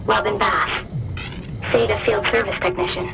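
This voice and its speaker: A woman's voice: mid-range voice, fairly even intonation, moderate pace, gravelly. A woman playing an earnest, trusting technician chatting in a sci-fi video game. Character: trusting, earnest female technician, eager and relieved. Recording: music and ambience behind voice.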